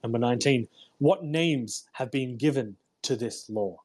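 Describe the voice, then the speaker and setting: Husky-voiced man reading aloud. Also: medium pitch, a little slow, darkish tone. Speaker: host reading a self-help book aloud. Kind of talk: read-aloud audiobook with informal commentary. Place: live online audio room broadcast.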